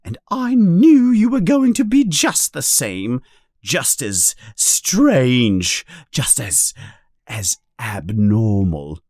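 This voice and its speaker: A man's voice: a little high, wildly swooping pitch, takes it slowly, dry recording, clean studio sound, pitched above the performer's own voice, heavily acted. A man voicing a resentful middle-aged aunt in a storybook reading, ranting shrilly and angrily. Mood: bitter, angry.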